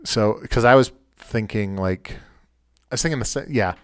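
A man's voice: lowish voice, moderate pace, smooth, dry recording, clean studio sound. A man co-hosting a podcast in casual, informal conversation. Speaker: podcast co-host. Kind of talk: casual chat.

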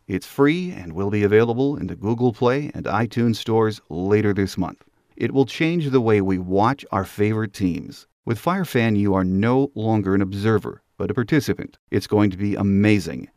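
A man's voice: lowish voice, darkish tone, dry recording, studio quality. A man hosting a sports podcast, reading a scripted narration.

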